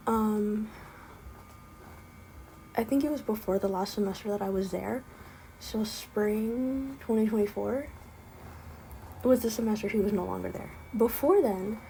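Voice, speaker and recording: Gravelly-voiced young woman with a slight lisp. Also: mid-range voice, mellow, a little hiss or hum, poor audio. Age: young adult. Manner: rambling, frequent ums, exasperated. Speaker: vlogger. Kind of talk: casual spoken rant.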